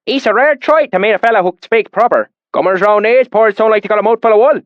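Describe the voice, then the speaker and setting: A man voicing a lean, weathered swineherd in the countryside, using a thick rustic dialect in a high, loud, drawling voice. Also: fast talker, no room sound, dull audio, close to an everyday voice. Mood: friendly. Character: lean weathered rural male swineherd, suspicious then friendly.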